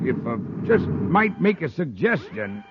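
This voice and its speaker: A folksy old space captain from a fifties radio serial, boastful and blustery. His voice rides fairly high and swings widely as he stammers and repeats himself. The old recording is muffled. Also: sing-song, measured pace, heavy background noise. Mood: sheepish, boastful. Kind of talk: 1950s radio serial acting.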